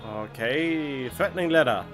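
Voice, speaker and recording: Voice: medium pitch, lively intonation, unhurried. A game streamer giving casual live commentary in short offhand remarks. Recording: game music behind the voice.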